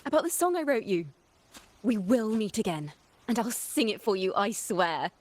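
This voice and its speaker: A woman's voice: medium pitch, very animated, normal speed, slight background noise, natural voice. A woman playing a young wandering bard in a game, earnest and loyal to her partner. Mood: earnest, hopeful. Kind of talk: game voice acting.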